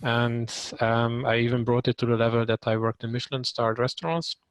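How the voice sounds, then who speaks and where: A man in his thirties from Hungary speaks on a video call with a gravelly edge to his voice. He talks with many ums and short pauses, and the sound is dry and close. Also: medium pitch, steady tone, dry recording. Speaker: trainee introducing himself. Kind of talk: casual self-introduction on a video call.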